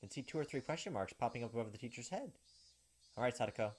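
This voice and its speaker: A man's voice: medium pitch, expressive, very fast, breathy edge. An American man reading a game script aloud as a let's play host, with informal, salty asides. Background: faint game music.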